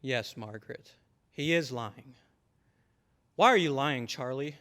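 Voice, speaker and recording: A man's voice: medium pitch, takes it slowly, a bit bright. A man playing a stern, exasperated father in an old-time radio drama, with emphatic delivery. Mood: grim, accusing. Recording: long hall reverb.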